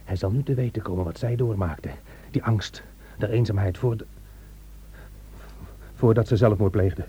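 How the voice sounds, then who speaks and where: An actor playing a bitter, spiteful young son in a radio drama, taunting and defensive. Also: male voice, on the low side, normal speed, dark tone, husky, dull audio.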